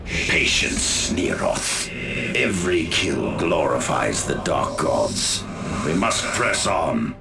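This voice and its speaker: An actor playing a contemptuous, pompous evil warlord in a video game, speaking in measured, menacing phrases. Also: male voice, a bass voice, big pitch swings, creaky, over music, heavily acted. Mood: commanding. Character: lordly, contemptuous, patient evil Chaos warlord commander. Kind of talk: theatrical video game villain acting.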